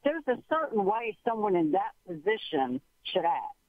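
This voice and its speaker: A woman calling in to a radio show, talking in halting, rambling bursts and breaking off her own sentences. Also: medium pitch, big pitch swings, no room sound. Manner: halting, rambling, interrupts herself. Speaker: caller. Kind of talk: call-in radio conversation. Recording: tinny phone line.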